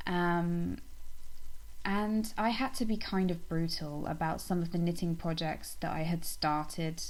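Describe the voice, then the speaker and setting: Gravelly, low-voiced woman vlogger, slightly nasal. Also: a little mellow, rough recording. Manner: rambling, with many ums and restarts. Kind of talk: casual chat to camera.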